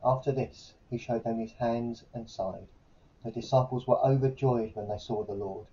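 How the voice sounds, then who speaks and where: A man training as a vicar, reading a scripture passage aloud in a steady way. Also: mid-range voice, moderate pace. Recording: muffled, dull sound.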